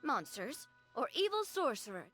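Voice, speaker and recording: Game cast: a chatty, playful young heroine, bright and high with lively swings. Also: female voice, normal speed, soft background music. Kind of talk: video game voice acting.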